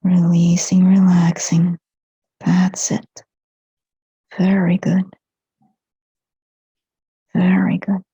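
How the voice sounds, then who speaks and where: A woman guides a meditation in a very breathy, whispered voice. Her delivery is extremely slow and gentle, with little rise or fall in pitch and long pauses between short soothing phrases. The recording is dry and close, and slightly dull. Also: monotone, dry recording. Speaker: hypnotherapist guiding a meditation. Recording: dull, muffled lo-fi sound.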